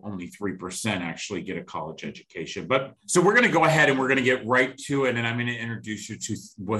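A man's voice: fairly low pitch, bright, forceful tone. A man in his fifties hosting an interview show, blunt and emphatic, often saying "you know" and "okay". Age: 50s. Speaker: show host.

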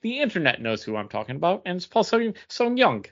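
A man's voice: sits high, big pitch swings, fast talker, a bit bright. A man co-hosting a podcast, chatting in a casual, informal style. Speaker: podcast co-host. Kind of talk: casual chat. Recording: dull, lo-fi audio.

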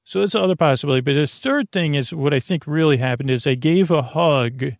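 A man with a gravelly voice, hosting a bedtime podcast in a meandering, halting monologue full of self-interruptions and tangents. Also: on the high side, no echo, clean studio sound. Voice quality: creaky, gravelly. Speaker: podcast host.

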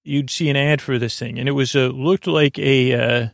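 Gravelly, creaky American man rambling at bedtime. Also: mid-range voice, steady tone, no room sound, clean studio sound. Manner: meandering, halting, goes off on tangents. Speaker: podcast host. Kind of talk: rambling bedtime monologue to help listeners fall asleep.

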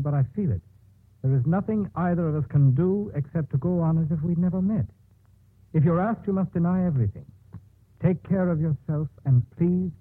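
Devoted anguished lover, highish man's voice, lively swings, old radio play. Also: slightly rough. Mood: reading gravely. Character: devoted, anguished male lover of a condemned woman. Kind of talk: 1950s radio melodrama acting. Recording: muffled old 1950s radio broadcast.

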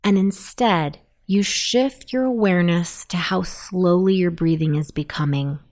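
A woman narrating a guided sleep meditation in a soothing, deliberate manner. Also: on the low side, a little mellow.

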